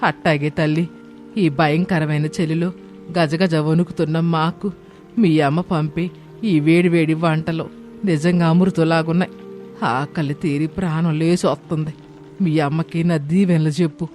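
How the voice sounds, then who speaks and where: An emotional older woman performed by a man with a high voice. This grandmother is affectionate and humbled, speaking from the heart as in a storybook drama. Soft music sits behind the words. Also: steady tone, measured pace, lightly acted. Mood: affectionate, grateful. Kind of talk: dramatised Telugu moral story.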